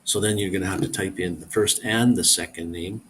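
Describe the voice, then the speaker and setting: A man's voice: deep voice, steady tone, a little fast, a bit bright, slight background noise. A middle-aged man hosting a casual live stream, rambling as he reads viewer comments aloud and answers them.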